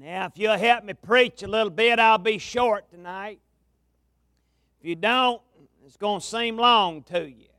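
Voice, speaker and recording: Slow folksy Southern preacher, high voice. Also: male voice, sharp tone, no echo, clean studio sound. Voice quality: gravelly. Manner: folksy, drawn-out preaching cadence. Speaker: pastor, guest preacher. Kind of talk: revival sermon. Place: large tabernacle revival meeting. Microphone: amplified mic at a pulpit.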